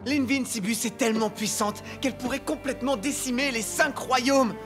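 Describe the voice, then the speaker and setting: A woman's voice: fairly high pitch, normal speed, close to an everyday voice. A woman playing a proud, firm young cartoon boy inventor, emphatic and a little huffy. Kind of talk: animated cartoon dub acting. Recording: loud music behind the voice.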